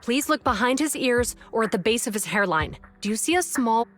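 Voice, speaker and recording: An actress playing an earnest, by-the-book police officer in a video game, with an urgent, precise way of talking. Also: female voice, a little high, lively intonation, a little fast, fairly bright tone, soft background music.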